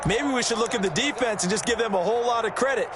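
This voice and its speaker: A man's voice: extremely high pitch, quick pace, noisy background. A man acting as a folksy football color analyst with an American accent, explaining the game in a reflective, conversational way. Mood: reflective, easygoing. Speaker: color commentator.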